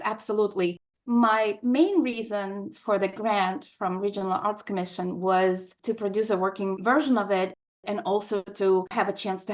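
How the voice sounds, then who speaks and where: Woman, mid-range pitch, interview guest, explanatory answers, somewhat muffled audio.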